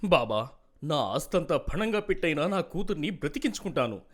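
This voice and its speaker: A man's voice: fairly high pitch, sing-song, normal speed, slightly rough. A man voicing a devoted, desperate landlord father in a storybook tale, pleading and emphatic. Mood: desperate resolve. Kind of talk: dramatic storybook character acting.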